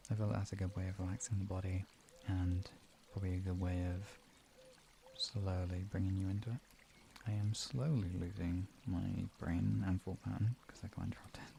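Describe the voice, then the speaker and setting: Gravelly, mellow-voiced man chatting on stream. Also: lowish voice, a little hiss or hum. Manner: informal, rambling, trailing off mid-thought. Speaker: live stream host and relaxation guide. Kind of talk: casual, improvised live-stream chat with viewers.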